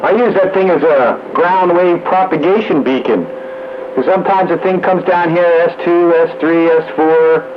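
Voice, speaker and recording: A man's voice: on the high side, moderate pace. A man chatting casually as a regular on an amateur radio net, heard over a two-way radio. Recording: noisy, muffled two-way radio.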